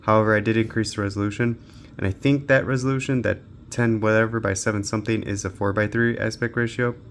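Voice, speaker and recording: A man's voice: lowish voice, fairly even intonation, fast, slight background noise. A man giving casual, off-the-cuff commentary while testing games, muttering and thinking aloud, as a handheld gaming reviewer.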